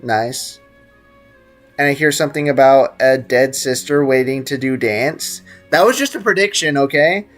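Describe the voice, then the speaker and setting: A man's voice: medium pitch, normal speed, a bit bright. Joking, slangy male streamer over game music. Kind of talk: casual live stream chat and gameplay commentary, reading out chat.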